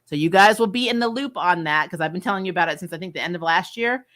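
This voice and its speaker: A woman hosting a casual livestream review, chatting with viewers in an animated, emphatic way and repeating phrases for effect. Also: on the low side, fast. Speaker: host of a comic and TV review channel. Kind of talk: casual livestream chat, answering viewer questions.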